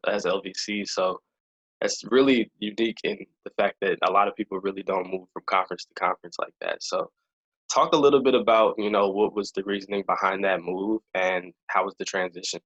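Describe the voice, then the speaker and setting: A man's voice: low-pitched, a bit bright, lo-fi sound. A young man hosting a casual interview podcast, upbeat and conversational, leaning on "you know" as he talks. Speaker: podcast host.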